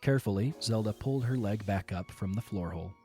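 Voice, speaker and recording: A male narrator with a fairly low voice reads the story in a steady, measured way. He tells the scene calmly between the characters' lines. Faint music sits under his narration. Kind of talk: storybook narration of an audio drama.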